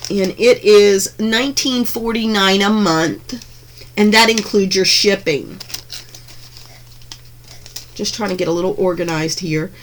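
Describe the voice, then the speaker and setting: Chatty woman gushing over beads, slightly hoarse. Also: mid-range voice, a little slow, long pauses, slight background noise. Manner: chatty, gushing, with frequent ums and restarts. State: slightly hoarse from being under the weather. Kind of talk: casual unboxing narration. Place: home craft room.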